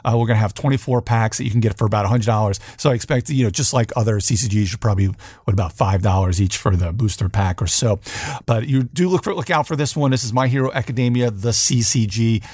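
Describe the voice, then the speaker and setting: Guy doing a casual board game news podcast, mid-pitched voice, really dry close sound, explains stuff loosely. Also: fast, a little mellow, no room sound. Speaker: podcast host.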